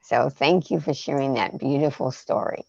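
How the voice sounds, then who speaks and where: Low, creaky, slow-speaking woman hosting. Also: a little mellow, no room sound. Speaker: storytime host. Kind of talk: informal spoken introduction and thanks. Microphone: video call. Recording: muffled video call audio.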